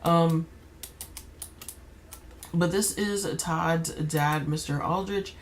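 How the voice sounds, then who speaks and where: Slightly raspy woman rambling over gameplay. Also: low-pitched, extremely slow, a little hiss or hum. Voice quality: slightly raspy, keeps clearing throat. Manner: rambling, many fillers and restarts. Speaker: gaming YouTuber. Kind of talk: casual gameplay commentary.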